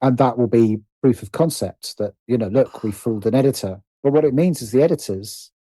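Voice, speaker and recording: Man with very mellow voice, halting delivery. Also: medium pitch, breathy edge, dry recording. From United Kingdom. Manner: hesitant, repeats and rephrases phrases as he thinks. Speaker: guest, writer and producer. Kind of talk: casual interview conversation.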